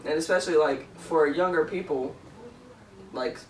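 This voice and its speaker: A woman's voice: low-pitched, normal speed, a little mellow. Rambling Philly woman in her twenties. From Philadelphia. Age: 20s. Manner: rambling, many "like" fillers. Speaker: podcast co-host. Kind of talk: casual chat. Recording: echoey room, mic far off, faint noise.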